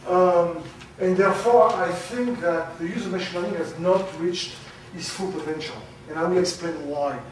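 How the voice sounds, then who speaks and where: A man giving a conference talk, loud and halting, with many fillers. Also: high voice, a little hiss or hum. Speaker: conference speaker. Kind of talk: academic presentation. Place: lecture room. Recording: very echoey, from far off.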